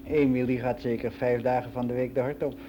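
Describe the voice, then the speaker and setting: A man's voice: medium pitch, flat delivery, a little fast, background noise, natural voice. An actor playing a world-weary middle-aged man who rambles in a frank, confiding way in a radio play monologue. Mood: gossipy. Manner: rambling, confiding, matter-of-fact.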